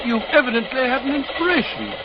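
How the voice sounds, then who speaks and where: Astonished English gentleman sidekick, very high pitch, earnest, dull vintage radio sound. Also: very animated, fast. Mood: curious, bluff. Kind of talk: 1940s radio drama acting. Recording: muffled, noisy old radio recording.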